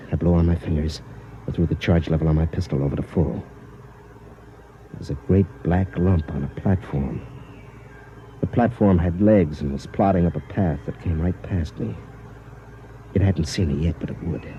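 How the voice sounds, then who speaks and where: A man's voice: low voice, a little hiss or hum, lightly acted. An actor playing a weary, stubborn soldier on a colony world, narrating his story in plain, grim first person, in 1950s radio drama style. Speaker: narrator and lead character. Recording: dull old radio broadcast.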